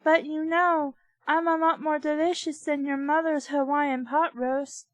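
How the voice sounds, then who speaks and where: A woman's voice: sits high, little pitch movement, measured pace, no room sound, pitched above the performer's own voice. A woman voicing an indignant, self-pitying talking meatball from a storybook. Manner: aggrieved, complaining.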